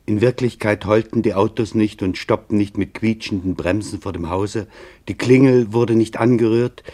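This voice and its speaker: A man narrating a documentary radio drama in a sober, deliberate, measured manner. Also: lowish voice, fairly even intonation, mellow.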